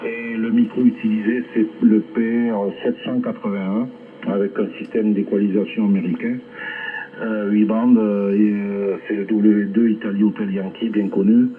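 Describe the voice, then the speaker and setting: A man chatting casually as an amateur radio operator over a shortwave link, rambling hesitantly with long filled pauses. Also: lowish voice, phone-quality sound, slight background noise. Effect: shortwave ham radio transmission. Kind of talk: casual ham radio contact chat. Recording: band-limited shortwave radio reception.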